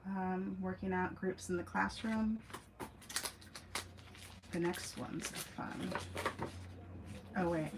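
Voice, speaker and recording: A woman giving a casual, musing self-help talk as a host, rambling through asides and emphatic repeats. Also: mid-range voice. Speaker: host, former teacher. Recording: clearly noisy amateur recording.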